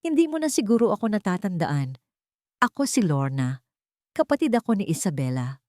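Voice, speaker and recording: A woman voicing an elegant, possessive aunt in an audio drama, honeyed and gushing with false warmth. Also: medium pitch, sing-song, normal speed, dry recording, studio quality, close to an everyday voice. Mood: honeyed, sly. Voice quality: honeyed, sweet. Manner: gushing, overly affectionate.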